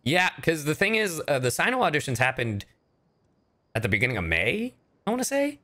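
A man streaming a game and chatting casually, animated and often halting mid-thought. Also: a little high. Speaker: streamer and voice actor. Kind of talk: casual livestream chat over gameplay.

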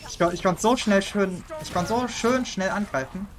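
A chatty German man talks through a co-op game round, voice sitting a little high for a man. He laughs easily and drops quick asides while he plays. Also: a crowd in the background. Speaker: gaming channel host. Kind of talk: casual gameplay chat.

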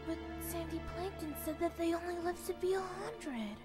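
A woman voicing a small, sweet young boy clownfish in a fan dub, soft and earnest. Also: extremely high pitch, moderate pace, over loud music. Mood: tender, curious. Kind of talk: amateur fan dub of an animated film.

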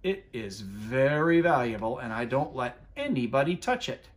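A man's voice: medium pitch, big pitch swings, normal speed, close to an everyday voice. A man voicing an old Italian grandma witch from a storybook, bossy yet kindly, with singsong, firm delivery. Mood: firm, instructing. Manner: singsong chanting and firm instructing.

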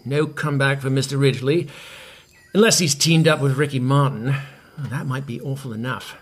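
A man's voice: mid-range voice, steady tone, fairly quick, natural voice. A man acting as a vain, hungover, washed-up rock star in an audio comedy, pompous, petulant and sarcastic. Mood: bitter scorn.

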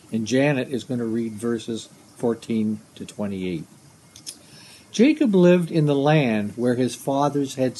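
A man in his seventies reading scripture aloud in a measured way, recorded outdoors on a device at arm's length. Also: mid-range voice, warm tone, husky, low-quality recording. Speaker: lay scripture reader. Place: outdoors beside a brick house under trees.

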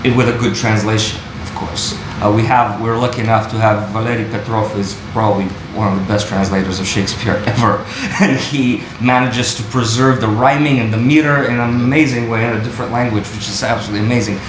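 A man's voice: lowish voice, steady tone, fairly quick, few pauses, reverberant, background noise. A man, an actor and translator, answering a panel question thoughtfully and restarting his sentences as he searches for words. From Bulgaria. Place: wood-panelled university room, audience present.

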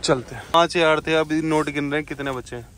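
A man's voice: on the high side, fairly even intonation, fast talker, fairly bright tone. A young man vlogging casually, chatting and haggling to camera as he shops a busy night market. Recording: crowd noise of a street market.

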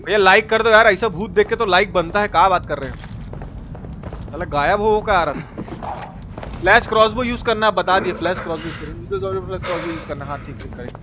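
A man's voice: sits high, fast, a crowd in the background. A man with a Bihari accent giving exclamatory, slangy, sweary live game commentary and greeting his chat. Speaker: game streamer. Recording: noisy, game sounds behind.

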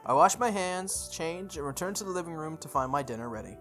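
A woman's voice: deep voice, animated, brisk, fairly bright tone, faint music behind. A woman reading visual novel narration aloud, with casual, chatty asides.

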